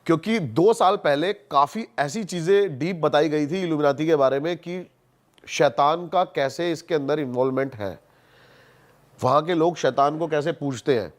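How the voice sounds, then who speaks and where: Emphatic, higher-voiced man. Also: normal speed. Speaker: podcast guest. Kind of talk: casual podcast chat, storytelling and explaining.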